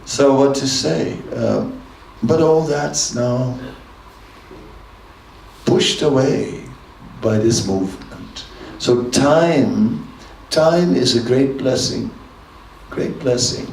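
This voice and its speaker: A man's voice: medium pitch, a little mellow, a little hiss or hum. An older man, a monk giving a temple class, telling stories in an easy conversational way and chuckling often. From Netherlands. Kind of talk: temple lecture with anecdotes. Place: temple hall. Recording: roomy hall from a distance.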